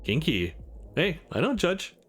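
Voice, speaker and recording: A man's voice: on the high side, huge pitch swings, a little slow, fairly bright tone, breathy edge, faint music behind, full character voice. A man voicing a brash, teasing young woman bartender from a visual novel, blunt and sassy. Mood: blunt, sly.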